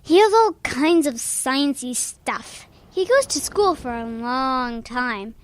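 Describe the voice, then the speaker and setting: A woman's voice: high-pitched, animated, takes it slowly. A woman playing an earnest, curious young schoolboy with a puzzled, childlike manner in a children's audio drama.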